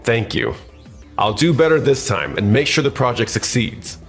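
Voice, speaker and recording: A man acts out a self-serving office schemer from a dubbed comic drama. His pitch swings up and down in an excitable, easily flustered way. Music plays behind him. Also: moderate pace. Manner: stammers when cornered, excitable. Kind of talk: anime-style dramatized dub acting.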